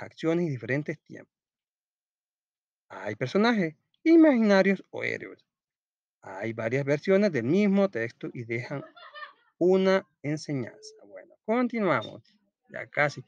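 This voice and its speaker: Salvadoran guy teaching a class, kinda high voice, super lively pitch, chatty, asks and answers his own questions. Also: no echo, muffled recording. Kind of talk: informal school lesson, reading aloud and explaining.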